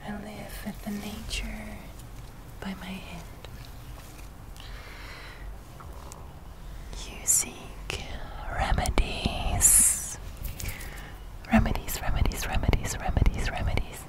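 A young woman playing a gentle forest witch healer in a whispered ASMR roleplay, soft-spoken and repeating words hypnotically. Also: mid-range voice, little pitch movement, slow pace, natural voice. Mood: soothing, mysterious. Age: 20s. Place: outdoors in a leafy garden.

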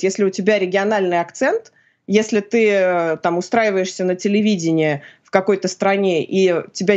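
A woman in her forties explains at an easy pace over a video call from a room at home. Her voice sits at a middling pitch and the audio is a little dull and lo-fi. She pauses often with small hesitation sounds as she thinks aloud. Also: darkish tone. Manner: thoughtful, many filler sounds, explanatory. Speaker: philologist and journalist guest.

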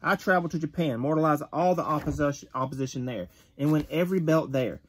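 Gruff man reading a wrestler's words. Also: a little high, quick pace. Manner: gruff, tough-guy reading with occasional stumbles. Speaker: father reading a magazine interview aloud. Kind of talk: reading an interview aloud. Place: bedroom with shelves of collectibles. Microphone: phone camera at close range.

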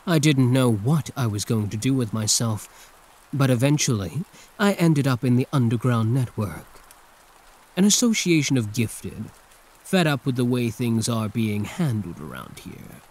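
A man's voice: mid-range voice, lively intonation, a little slow, a little mellow. A man playing a condescending yet protective hero turned villain in an intimate, theatrical ASMR roleplay monologue. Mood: earnest, confiding.

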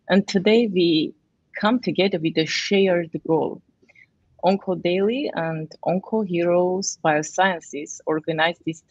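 A woman gives a formal, prepared address. She is on a video call, with no room echo and a somewhat lo-fi, dulled sound. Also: on the low side. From Armenia. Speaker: guest, medical oncologist. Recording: dull, lo-fi video call audio.

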